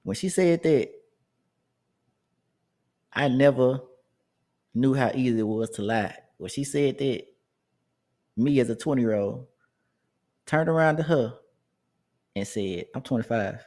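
A man's voice: mid-range voice, lively intonation, slow pace, long pauses, darkish tone. A man in his thirties telling a personal story in a casual, rambling way, with asides to his listeners. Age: 30s. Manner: conversational, rambling with restarts and asides.

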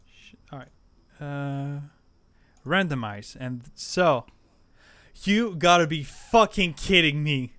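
Smooth-voiced young man, excitable film fan. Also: fairly high pitch. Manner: enthusiastic, casual swearing, quick bursts of excitement. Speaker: podcast co-host. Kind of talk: casual chat.

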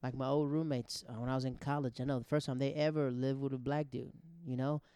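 A man's voice: mid-range voice, little pitch movement, quick pace, dark tone, clear, resonant tone, no room sound. A man talking in a casual, conversational monologue as the host of a show, often acting out remarks he quotes. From grew up abroad in the Netherlands and Japan. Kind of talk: casual personal monologue on social issues.

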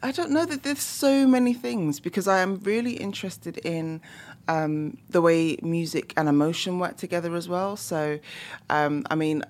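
Low-pitched London woman, chatty and animated interview guest. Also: normal speed. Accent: London English. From London, England. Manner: animated, laughing, many fillers. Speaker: guest musicologist. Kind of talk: casual interview chat.

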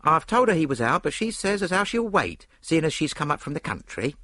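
A man acts out a landlady in an audiobook. The voice is pitched well up, chatty and deferential, with a lively rise and fall. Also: a little fast, no echo. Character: polite working-class London landlady, chatty older female.

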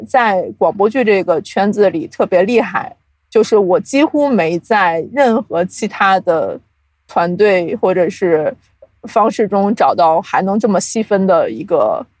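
A woman's voice: mid-range voice, animated, normal speed, darkish tone, no echo. Woman chatting through an explanation, dry close sound. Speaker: podcast guest, audio drama producer. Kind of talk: casual interview explanation.

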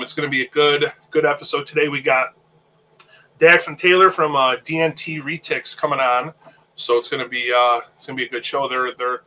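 A man's voice: medium pitch, sharp tone, slight background noise, poor audio. A man hosting a casual live show, sounding nasal while getting over an illness, easygoing and repeating words as he thinks. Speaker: host of a live reptile-hobby show. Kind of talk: casual live-stream chat.

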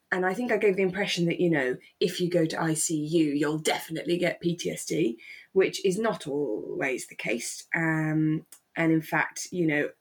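A woman's voice: on the low side, slightly rough. A British woman chatting casually as a podcast co-host, with an informal, careful way of wording things. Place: remote call from home.

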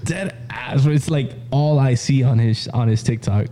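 A man's voice: medium pitch, normal speed, a loud crowd. A young man in casual podcast chat with friends, laid-back and laughing easily. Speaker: podcast co-host.

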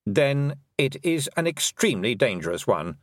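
A man's voice: mid-range voice, big pitch swings, moderate pace, no room sound, studio quality, pitched above the performer's own voice. A man voicing a fussy, complaining talking toad with a prim manner. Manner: prim, grumbling.

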